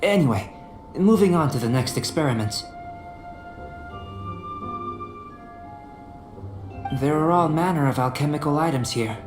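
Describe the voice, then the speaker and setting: A man voicing a calm, scholarly young alchemist in a video game, speaking in a measured, polite and formal way. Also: a little high, close to an everyday voice. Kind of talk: video game character acting. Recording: roomy echo with game music. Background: game music.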